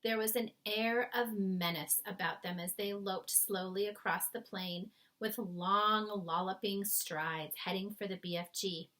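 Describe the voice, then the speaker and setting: A woman's voice: mid-range voice, steady tone, a little slow, few pauses, fairly bright tone. A woman reading a children's story aloud as scripted narration. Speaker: storybook reader.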